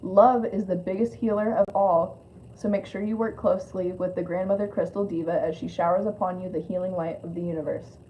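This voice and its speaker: A woman's voice: lowish voice, steady tone, fairly quick, mellow. Lisping woman on a dull, noisy stream. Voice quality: lisp. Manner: informal, rambling asides with swearing. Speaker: spiritual card reader hosting a live stream. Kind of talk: casual live reading mixing read-aloud card text and chat. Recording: dull lo-fi audio with clear noise.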